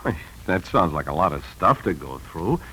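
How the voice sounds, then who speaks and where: A man's voice: sits low, very animated, normal speed, pushed and bright, exaggerated voice. An actor playing an earnest, folksy young husband and father in a 1940s radio drama. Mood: flustered. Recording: slightly noisy 1940s radio recording.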